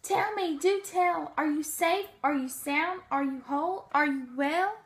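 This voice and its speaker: An earnest elephant from a children's storybook, acted out by a woman, speaking high and loud. He is kind-hearted and anxious, and he presses every plea with urgent emphasis. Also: a little slow. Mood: anxious concern. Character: earnest, kindly, anxious male elephant pleading loudly.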